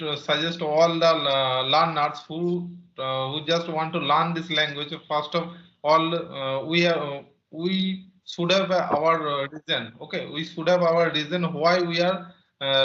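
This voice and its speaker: Bright earnest man, echoey room. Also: on the high side, steady tone, a little slow, dull audio. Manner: repetitive, earnest, many fillers and restarts. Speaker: host, English teacher. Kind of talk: interview and learning advice.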